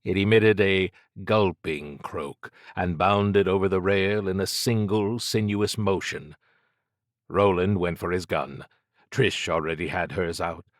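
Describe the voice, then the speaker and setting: A man narrating scripted fiction as an audiobook, with measured, dramatic storytelling. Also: sits low, fairly even intonation, no echo, clean studio sound.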